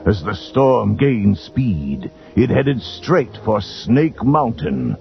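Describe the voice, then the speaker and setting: A man's voice: fairly low pitch, gravelly. A man narrating a children's adventure storybook in a dramatic, scripted style. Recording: dull lo-fi tape with music behind.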